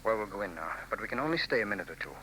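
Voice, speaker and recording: A man playing a gentle, earnest young priest in a 1940s radio drama. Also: fairly low pitch, very animated, racing, slight background noise, poor audio. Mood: grave. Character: young kindly parish priest.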